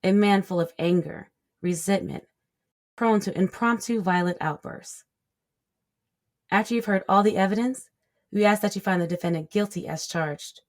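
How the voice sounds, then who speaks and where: A woman playing a stern, accusatory prosecutor in a scripted courtroom drama, with a formal, measured, pointed delivery. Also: mid-range voice, close to an everyday voice. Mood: forceful.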